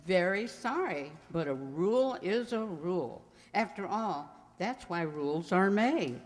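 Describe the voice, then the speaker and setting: Woman playing a prim, slow older librarian. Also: lowish voice, expressive. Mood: firm, apologetic. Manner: prim, fluttery. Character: prim, fussy, rule-bound older female librarian. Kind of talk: 1940s radio acting. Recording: echoey hall.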